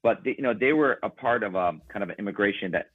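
A very low-voiced man speaks in a relaxed, rambling way, with plenty of fillers. His first language is Ukrainian. The sound is thin and phone-like, close and dry. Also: quick pace, slightly rough, no room sound. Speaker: band member guest. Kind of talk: casual interview conversation. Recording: tinny phone-like line.

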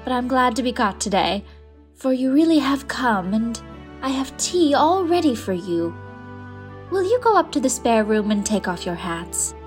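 A woman's voice: a little high, very animated, moderate pace, soft background music. A woman reading as a whimsical forty-five-year-old lady. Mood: playful. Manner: fond, playful, pretends freely. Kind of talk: audiobook character reading.

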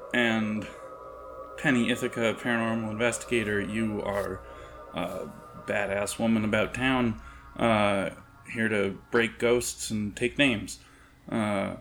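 A man improvising tabletop game narration as game master, in a halting, wordy, descriptive manner. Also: fairly low pitch, creaky, a bit bright.

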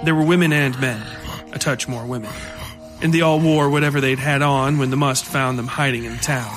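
A man's voice: medium pitch, steady tone, fairly quick. A man reading scripted horror fiction as narrator, with music playing under his voice.

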